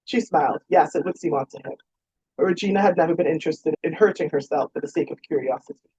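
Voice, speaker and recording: Husky, mellow woman reading romance fiction. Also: low voice, quick pace. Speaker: author reading own novel. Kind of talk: scripted romance narration. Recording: roomy, dull lo-fi sound.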